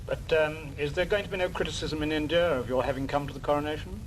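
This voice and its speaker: A man's voice: fairly high pitch, fast. A man acting as a press interviewer, putting direct, formal questions in a broadcast interview. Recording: faintly noisy 1950s archival broadcast.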